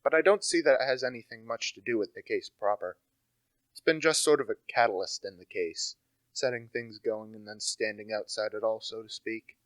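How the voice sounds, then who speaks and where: A young man playing a precise, brooding, intellectual gentleman detective in a radio play, deliberate and theatrical in delivery. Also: fairly low pitch, no echo, natural voice, poor audio. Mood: precise, dismissive. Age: young adult.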